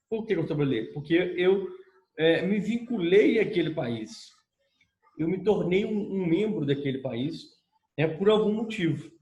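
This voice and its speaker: A man's voice: high-pitched, darkish tone. A man with a Brazilian Portuguese accent giving a recorded law lecture, explaining step by step and checking in with his students. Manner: explanatory, repeats points with "tá?" check-ins. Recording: echoey room.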